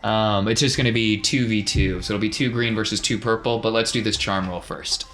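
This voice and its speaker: A man's voice: lowish voice, steady tone, a little fast, a bit bright, a little hiss or hum. A man acting as game master, narrating a tabletop roleplaying game in a casual, explanatory way. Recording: faint music behind the voice.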